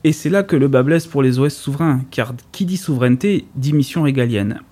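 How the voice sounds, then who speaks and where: A man at mid pitch reads a prepared segment in a radio studio. His delivery is steady and scripted, with dry, ironic asides slipped between the facts. The pitch is ordinary for a man, neither high nor low. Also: a little fast. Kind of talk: reading a prepared tech segment.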